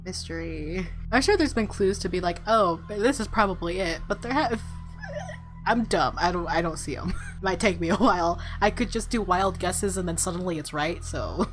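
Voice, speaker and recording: A woman's voice: on the low side, normal speed, soft background music, poor audio. A woman streaming a game with casual, playful commentary, reactive and prone to giggling. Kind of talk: casual let's play commentary with read-aloud narration.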